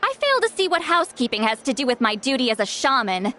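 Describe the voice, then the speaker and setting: A proud female shaman in a game, grumpy and self-assured, talking down to younger people with a teasing edge. The voice sits high and swings widely in pitch. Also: sing-song, fast talker, pushed and bright, full character voice. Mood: haughty. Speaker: game character. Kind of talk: video game voice acting.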